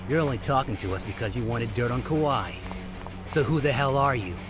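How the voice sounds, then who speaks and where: A man's voice: mid-range voice, a little fast, over music, lightly acted. Sneering, suspicious gang thug played by a man. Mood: menacing, sneering. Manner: confrontational, sneering, slangy. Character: suspicious, menacing male street gang thug. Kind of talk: English dub of a crime drama game.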